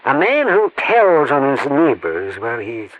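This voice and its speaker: An actor playing a chatty, folksy chicken farmer who hems and fusses genially in a 1950s radio drama. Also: male voice, mid-range voice, very animated, a little slow. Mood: gossipy, hesitant. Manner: folksy, hemming, chuckling. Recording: muffled old-time radio recording.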